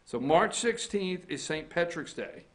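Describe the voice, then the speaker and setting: A man's voice: fairly high pitch, lively intonation, unhurried. A man hosting a casual morning talk show, teasing his co-host and steering the chat. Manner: teasing, banters and interrupts.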